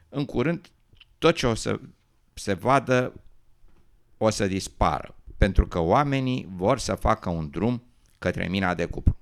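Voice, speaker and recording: A man's voice: low voice, vocal fry, full character voice. A man playing a young newt, an earnest, adventurous dreamer given to worry and doubt, in an educational radio drama.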